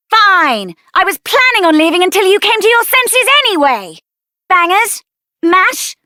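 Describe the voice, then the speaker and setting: A woman's voice: very high voice, bright, forceful tone, dry recording, studio quality, exaggerated voice. A woman voicing a headstrong, fiery young woman mage in a video game, with a British accent and an assertive, theatrical delivery. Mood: defiant, angry, then firm, tender. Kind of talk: video game character voice acting.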